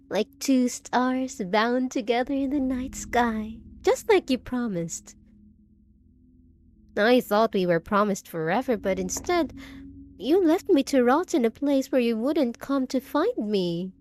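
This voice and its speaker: A woman's voice: fairly high pitch, lively intonation, unhurried, darkish tone, a little hiss or hum. A woman playing a possessive, unhinged undead bride in a roleplay audio drama, cooing endearments with theatrical, sinister sweetness. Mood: wounded, reproachful.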